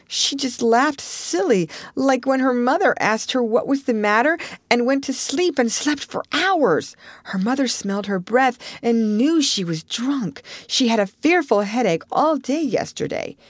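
A woman's voice: dry recording, clean studio sound. A woman voicing Anne, a dramatic, tearful young girl who wails and sobs as she speaks. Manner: wailing, sobbing, high and breathless.

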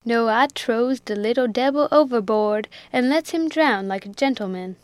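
A woman's voice: a little high, normal speed, no echo, lightly acted. A woman reading aloud, voicing a good-natured male ship's steward with a heavy rustic dialect and a drawling, moralizing manner. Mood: folksy.

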